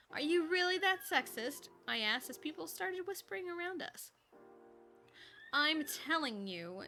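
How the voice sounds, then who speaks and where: A woman's voice: high-pitched, bright, forceful tone. A woman casually reading a first-person story aloud on a live stream, informally, with ad-libbed asides and lightly voiced dialogue.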